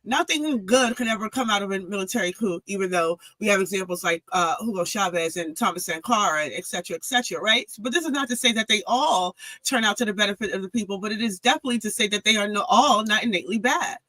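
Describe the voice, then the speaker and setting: A woman's voice: medium pitch, fast talker, hardly any pauses, sharp tone, no room sound, rough recording. A woman speaking as a political analyst guest on a podcast interview, building her argument analytically with frequent restarts.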